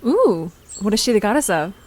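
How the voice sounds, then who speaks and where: A woman's voice: mid-range voice, very animated, brisk. A woman playing a friendly, curious young adventurer who talks casually and asks questions in an audio drama. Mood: uneasy curiosity. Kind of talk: acted audio drama dialogue.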